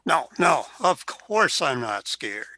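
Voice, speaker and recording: A man's voice: a little high, sing-song, slow pace, pushed and bright, dry recording, full character voice. A man playing a flippant but caring, tipsy older brother from 1920 in a radio play. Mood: nervous bravado.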